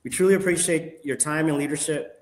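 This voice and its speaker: A man's voice: fairly high pitch, fairly quick. A man hosting a community livestream, asking scripted interview questions in a polite, courteous way. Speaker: livestream host. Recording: roomy echo.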